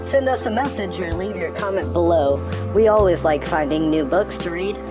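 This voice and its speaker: A woman's voice: lowish voice, normal speed, music in the background, lightly acted. A woman voicing a cheerful, curious young non-binary puppet on a children's show, with keen, simple phrasing. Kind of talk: children's show dialogue, scripted.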